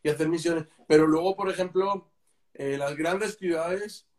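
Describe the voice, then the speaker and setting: A man explaining things in a casual conversation, often halting with repeated fillers. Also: a little high, darkish tone. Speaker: missionary.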